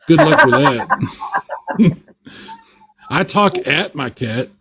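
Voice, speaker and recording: Animated man with a wry streak. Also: sits high, slow pace, creaky, husky. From Texas. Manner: wry, jokey. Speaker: podcast co-host. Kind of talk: casual joking chat.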